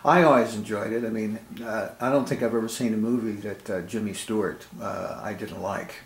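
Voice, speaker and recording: Halting man interviewer in a roomy space. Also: fairly low pitch, steady tone, creaky. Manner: halting, hesitant, searching for words. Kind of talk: casual interview. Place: room indoors. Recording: roomy, noisy indoor recording.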